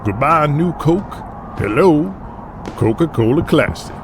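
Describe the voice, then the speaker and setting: A man's voice: on the high side, expressive, a little slow, noisy background. Man acting a swaggering, folksy old wrestling boss. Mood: folksy showmanship. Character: folksy, foul-mouthed older male wrestling boss rallying his men. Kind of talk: audio drama acting. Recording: music behind the voice.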